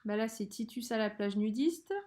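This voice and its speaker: A young woman chatting casually as a livestream host, slangy, expressive and amused. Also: fairly high pitch, normal speed. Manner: slangy, expressive, amused, spells out internet abbreviations. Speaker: livestream host reacting to a cartoon. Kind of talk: casual reaction chat.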